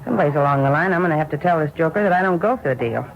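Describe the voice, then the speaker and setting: A woman's voice: low-pitched, expressive, rapid-fire. An actress playing a plucky, helpful American woman turned police informant in a 1950s radio crime drama, earnest and slangy. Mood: earnest, determined. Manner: plain-spoken, slangy, earnest. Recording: dull, noisy old radio recording.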